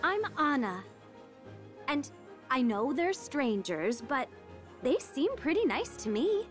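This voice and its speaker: A woman's voice: sits high, sing-song, takes it slowly, soft background music, heavily acted. A woman playing a friendly, upbeat young princess, trusting and determined, in a game cutscene. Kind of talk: video game cutscene acting.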